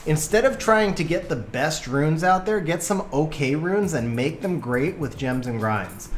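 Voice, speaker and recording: A man giving lively live commentary on game replays and chatting with viewers, his voice getting worn from a long stream. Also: a little high, reverberant, soft background music. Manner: animated, quick play-by-play, bursts of excitement.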